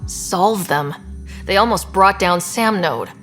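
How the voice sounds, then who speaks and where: An actress playing a young woman space explorer in a video game, steady and dry-witted. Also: mid-range voice, takes it slowly, soft background music. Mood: indignant. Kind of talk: video game dialogue acting.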